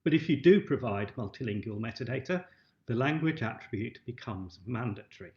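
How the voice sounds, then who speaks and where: A man with a medium-pitched voice explains material as a webinar presenter. His delivery is prepared and instructional, heard through a dull recording in an echoey room. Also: lively intonation, fairly quick, darkish tone. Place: indoor room. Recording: dull, roomy webcam-style recording.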